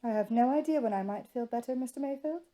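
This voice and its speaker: Woman reading as dry, reserved society lady, mellow. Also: fairly high pitch, fast talker, close to an everyday voice. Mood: exasperated. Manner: curt, dry, formal. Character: reserved, exasperated young society lady, dryly formal.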